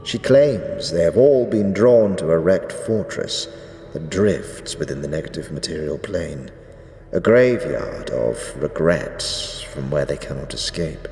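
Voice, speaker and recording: Ancient skeleton high priest, solemn fantasy drama, deep voice that rises and falls a lot, big echo. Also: male voice, animated, darkish tone, soft background music. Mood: measured, somber. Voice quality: deep, drawn-out delivery. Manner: archaic, grave, ceremonious speech. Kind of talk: fantasy audio drama acting. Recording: echoey reverberant hall, faint noise.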